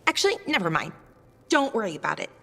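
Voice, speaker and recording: An actress playing an earnest, anxious female elf in a video game, halting and self-doubting. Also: mid-range voice, very animated, gravelly, pushed and bright, exaggerated voice. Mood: awkward, uneasy. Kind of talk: video game dialogue acting. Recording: long reverb, music underneath.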